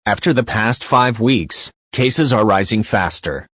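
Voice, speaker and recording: A man's voice: lowish voice, expressive, unhurried, fairly bright tone, no echo, clean studio sound. A man reading a scripted news-style public announcement.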